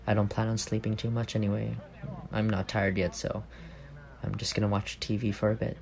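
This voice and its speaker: A man's voice: on the low side, level tone, fairly quick, vocal fry, soft background music. A man playing an affectionate, teasing young roommate in an intimate ASMR roleplay, speaking in a playful, tender way. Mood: tender, drowsy.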